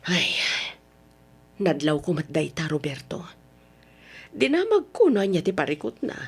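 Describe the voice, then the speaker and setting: A woman with an Ilocano Filipino accent acting a gentle, concerned middle-aged wife and mother in an old-time radio drama, soothing in manner. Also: on the low side, wildly swooping pitch, unhurried, slightly rough, exaggerated voice.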